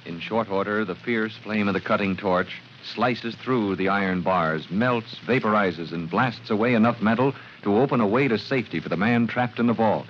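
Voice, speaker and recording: A man's voice: on the low side, normal speed, few pauses. A man narrating a scripted radio adventure in a dramatic, formal 1940s announcer style. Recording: muffled, faintly noisy 1940s radio recording.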